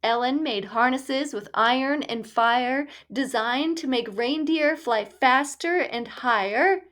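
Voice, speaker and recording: A woman reading a rhyming children's story aloud in a measured, deliberate storytelling delivery. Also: sits high, fairly bright tone. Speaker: storybook reader.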